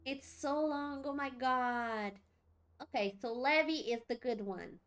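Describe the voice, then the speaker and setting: A woman chatting casually as she streams a video game, expressive, exclamatory and rambling. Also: sits high, takes it slowly, clean tone. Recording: faint game music behind.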